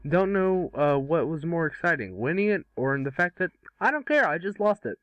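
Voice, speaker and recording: A man's voice: fairly high pitch, big pitch swings, a little fast, a bit bright, no echo, low-quality recording. A man reading the part of a cocky, glib billionaire playboy in an amateur table read, flippant and rambling, sometimes stumbling over big words.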